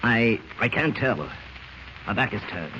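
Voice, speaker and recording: An actor playing a wary master criminal in an old radio drama, speaking in a guarded, terse way. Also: male voice, medium pitch, lively intonation, unhurried, bright, forceful tone. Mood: wary, puzzled. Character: wary male master criminal, escaped convict. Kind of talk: 1940s radio drama acting. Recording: dull, noisy old radio recording.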